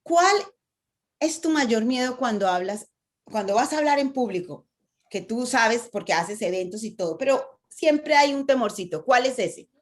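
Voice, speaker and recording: A woman's voice: on the high side, lively intonation, moderate pace. A woman with a Latin American Spanish accent giving an engaging talk on stage fright, often asking rhetorical questions and tagging "¿no?". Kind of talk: conversational talk with a guest.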